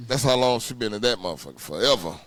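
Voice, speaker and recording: Dry-sounding man, medium pitch. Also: animated, fast talker, a bit bright, no room sound. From Houston, Texas. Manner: blunt, profane, repeats phrases for emphasis. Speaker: podcast host. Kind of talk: casual reminiscing chat.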